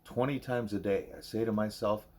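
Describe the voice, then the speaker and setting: A man's voice: lowish voice, normal speed, a little mellow, natural voice. A man reading the lines of a troubled, blunt schoolgirl in an audiobook, plain-spoken and guarded. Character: wary, troubled, blunt schoolgirl narrating her story.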